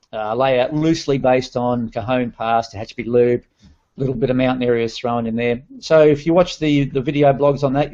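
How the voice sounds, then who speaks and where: A man's voice: mid-range voice, darkish tone. A man with a Scottish accent introducing himself on a video call, chatty and self-deprecating. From Scotland. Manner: chatty, self-deprecating, laughing between phrases. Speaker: guest modeler. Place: room by a window. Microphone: webcam on a video call. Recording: muffled video-call audio.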